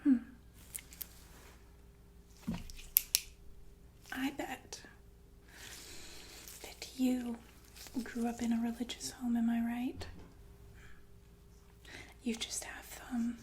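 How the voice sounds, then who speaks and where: A woman playing a calmly menacing, sadistic killer nurse in an intimate, deliberate ASMR roleplay full of pensive pauses. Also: fairly high pitch, a little hiss or hum. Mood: probing, menacing. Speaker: ASMR performer. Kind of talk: ASMR roleplay monologue in whispers.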